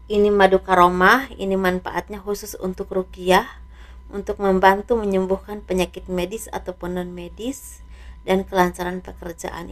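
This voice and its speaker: A slightly hoarse woman doing a casual tarot reading, speaking softly and letting her phrases trail off. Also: mid-range voice. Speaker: tarot reader.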